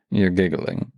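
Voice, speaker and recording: A man's voice: darkish tone, no room sound, studio quality. A man who works as a voice actor, hosting a scripted, intimate audio series with a theatrical, playful and flirtatious manner. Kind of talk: scripted, intimate introduction to listeners.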